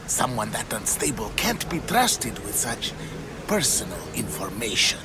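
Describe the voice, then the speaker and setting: A man's voice: fairly low pitch, very animated, a little slow, over loud music. A man voicing a scheming, ambitious cult conspirator in a video game, haughty and formal. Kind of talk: dramatic video game acting.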